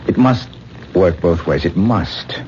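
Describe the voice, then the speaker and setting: A dull, slightly noisy vintage radio drama sound carries an intense mystic stage star. His deep voice is theatrical and grandiloquent, sweeping widely in pitch. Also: expressive, takes it slowly, darkish tone. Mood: fervent. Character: intense, mystical great stage actor, convinced of psychic powers. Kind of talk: 1970s radio drama acting. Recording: muffled, noisy 1970s radio broadcast.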